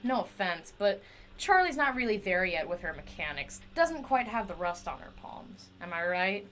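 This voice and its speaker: A woman acting a chatty, animated eccentric inventor. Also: medium pitch, normal speed, a little hiss or hum. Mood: nervous persuasion. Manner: rambling, wheedling, talks to a silent companion. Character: chatty eccentric woman inventor, eager, self-justifying kidnapper. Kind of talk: audio drama monologue.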